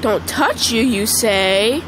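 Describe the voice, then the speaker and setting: A woman with a congested voice chatting casually over mobile gameplay, excitable and squealing at the game. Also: on the high side, slow pace, background noise. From Michigan. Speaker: gaming channel host. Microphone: phone screen recording.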